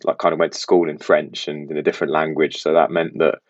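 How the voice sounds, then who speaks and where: A man's voice: very deep voice, level tone, fast, no echo, highs cut off. A young man who grew up in Belgium, with an international English accent carrying faint West Midlands traces, chatting casually and self-deprecatingly as a podcast guest.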